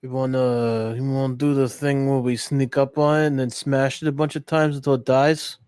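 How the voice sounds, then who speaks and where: A man's voice: mid-range voice, level tone, normal speed, darkish tone, clean tone, dry recording. Casual male player, dry close sound. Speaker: tabletop game player. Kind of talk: casual chat in game.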